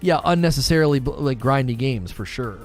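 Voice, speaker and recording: A man in his forties talks through a casual gaming stream at a middle pitch, loose and conversational, with plenty of thinking-aloud pauses. Faint music plays quietly underneath. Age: 40s. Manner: relaxed and conversational, musing aloud with fillers. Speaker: gaming channel host reading live chat. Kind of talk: casual livestream chat.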